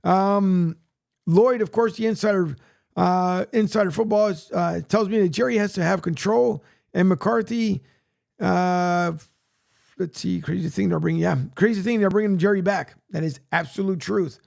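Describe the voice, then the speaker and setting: A man hosting a sports radio show, rambling casually with many fillers, his voice raspy from a long day. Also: high voice, normal speed, darkish tone. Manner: rambling, many fillers, plugs and reads news aloud. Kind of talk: casual solo sports talk, filling time.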